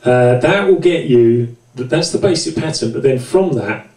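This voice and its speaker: A man presenting an informal hands-on synthesizer tutorial in a conversational, explanatory way, with hesitant pauses. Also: medium pitch, expressive, darkish tone. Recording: roomy echo, distant microphone.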